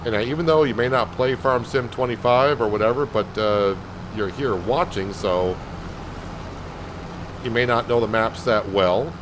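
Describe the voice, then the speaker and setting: A man calmly talking viewers through a farming game on a livestream, in a soothing, unhurried way. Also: medium pitch, background noise. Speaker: game streamer. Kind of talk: casual gameplay commentary.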